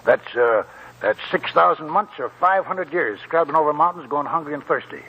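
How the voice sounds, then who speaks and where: An actor playing a talkative, wry old gold prospector in a 1940s radio drama, raspy and folksy, rambling like a storyteller. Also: male voice, on the high side, lively intonation, moderate pace. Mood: sly, animated. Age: older. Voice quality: chuckling, raspy old man's voice. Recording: muffled 1940s radio broadcast with music.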